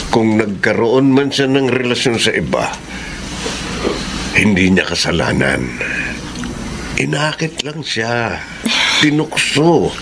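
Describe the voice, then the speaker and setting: A man's voice: on the low side, noisy background, heavily acted. An actor with a Filipino accent playing a caring, protective middle-aged father in a radio drama, earnest and reassuring. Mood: pleading. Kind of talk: Tagalog radio drama acting. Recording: music under the dialogue.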